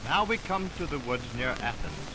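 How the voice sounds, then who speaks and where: A man's voice: mid-range voice, big pitch swings, moderate pace, heavy background noise. A man narrating a play in a scripted, storybook-like 1920s radio style. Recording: vintage 1929 radio aircheck. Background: prominent music.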